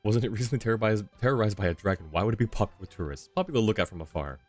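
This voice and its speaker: A man's voice: lowish voice, lively intonation, racing. A man streaming a game, chatting casually and laughing often. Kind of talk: casual gameplay commentary. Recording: stream mic with game music.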